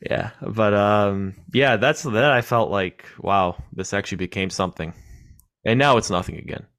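Young guy w a nasal voice, lowish, hosting his podcast, jokey and self-deprecating, laughs a lot. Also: normal speed, a bit bright, no room sound, clean studio sound. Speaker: podcast host. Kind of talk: casual interview chat.

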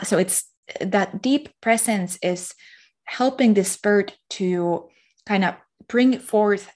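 A woman from Finland, speaking as an interview guest, reflective and pausing often to search for words. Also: mid-range voice. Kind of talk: casual spiritual interview talk.

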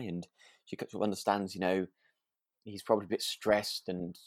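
Low-voiced British man in casual chat. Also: a little mellow, no echo, clean studio sound. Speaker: podcast co-host.

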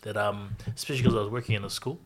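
A man talking as a guest on a casual podcast at home, speaking haltingly with hesitations. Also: fairly low pitch, fairly even intonation.

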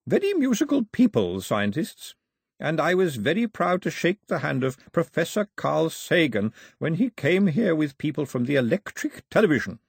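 A kindly old man telling stories to children, as a narrator puts him on, gentle and rambling, his voice lifted high and swooping all over. It sounds husky and dry, close to the mic. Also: very animated, normal speed, no room sound, full character voice. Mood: fond. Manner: gentle, chatty, fond.

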